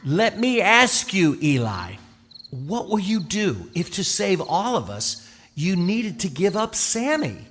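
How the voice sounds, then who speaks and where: A man playing a patient, gentle but firm Israelite father in a children's puppet show, explaining things deliberately like a bedtime storyteller. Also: high voice, very animated, slight background noise, exaggerated voice. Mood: patient, serious. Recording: long hall reverb, music underneath.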